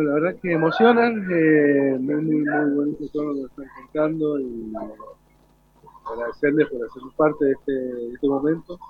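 A man hosting a live interview, speaking haltingly and repeating phrases. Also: fairly high pitch, slightly rough, no echo. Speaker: radio host. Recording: tinny phone-like lo-fi sound.

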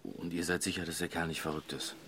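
A man's voice: deep voice, little pitch movement, quick pace, bright, forceful tone. An actor playing a weary, talkative family father with a sardonic, colloquial manner in a naturalistic radio drama.

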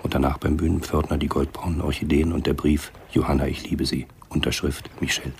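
A man's voice: a bass voice, little pitch movement, a little fast, gravelly, darkish tone, slight background noise. A man narrating a scripted German radio drama in a sober, matter-of-fact manner.

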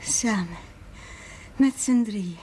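An actress playing a gravely ill, weary and loving mother in a 1970s Dutch television drama, speaking tenderly and with resignation. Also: mid-range voice, takes it slowly, natural voice. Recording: faint noise on old TV audio.

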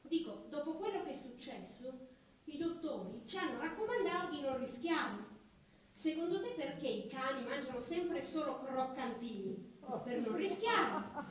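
Bossy, fretful female carer, stage absurdism. High register, insistent, distant in a reverberant hall, audience audible. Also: lively intonation, moderate pace, crowd noise. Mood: lecturing. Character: bossy, anxious, obsessively rule-bound woman caring for her partner. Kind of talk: absurdist stage comedy dialogue. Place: theatre stage. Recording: echoey theatre stage from afar, audience noise.

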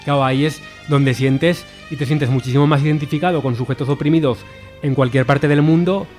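A man's voice: a little high, steady tone, brisk, a little mellow, faint music behind. A man, an activist guest on a radio interview, speaking earnestly and often repeating words as he thinks.

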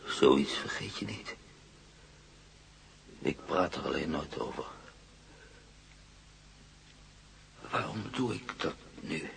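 An actor playing a troubled male patient in a radio play, recalling frightening memories in halting, brooding fragments. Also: very deep voice, lively intonation, gravelly, fairly bright tone, slight background noise, exaggerated voice. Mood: shaken. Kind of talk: Dutch-language radio play acting.

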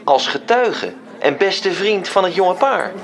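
An actor playing a measured, wry storyteller, delivering scripted literary narration in a radio drama. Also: male voice, high-pitched, very animated, vocal fry, a crowd in the background, full character voice. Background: music.

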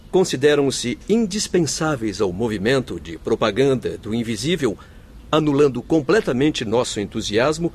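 An actor playing an earnest, courteous doctor spirit in a Brazilian Portuguese radio drama, speaking formally and eloquently. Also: male voice, medium pitch, husky.

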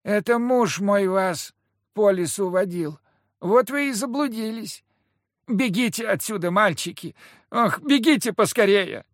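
Мужчина озвучивает невидимую испуганную крестьянку-духа в аудиокниге, которая причитает, умоляет и визгливо бранится. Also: male voice, expressive, a little slow, no echo, clean studio sound. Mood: fearful pleading. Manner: wailing, pleading, then shrill scolding. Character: frightened invisible peasant woman spirit.

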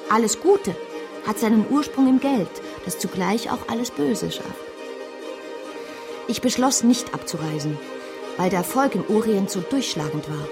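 A reflective woman narrates her past in literary radio-drama style. She sounds devoted but uneasy, with a middle-pitched voice and clear music behind her. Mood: grave, reflective.

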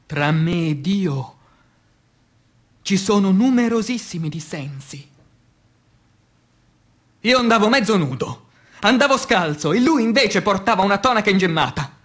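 A man reciting poetry as radio theatre in speech, declamatory, with dramatic pauses, moving between hushed and emphatic delivery. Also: high-pitched, expressive. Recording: music under the voice. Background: music.

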